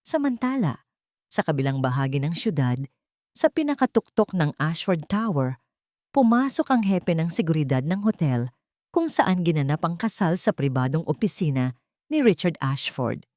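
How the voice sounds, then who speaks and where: A female narrator tells a dramatic story from a script. Her voice is a little low for a woman, and the recording is close and dry, with hardly any room in it. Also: sing-song, moderate pace, darkish tone, no room sound.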